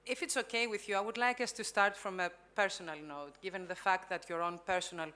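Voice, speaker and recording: A woman's voice: mid-range voice, steady tone, a little fast, sharp tone. A woman from Greece, a journalist, moderating a panel interview on a conference stage. She asks her questions politely and carefully, with some restarts.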